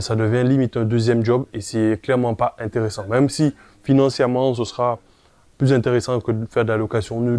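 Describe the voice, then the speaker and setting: A man's voice: mid-range voice, dark tone, no room sound. A man presenting an informal tutorial, explaining step by step, speaking directly to the viewer and often checking they follow. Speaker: presenter of a property investment tutorial.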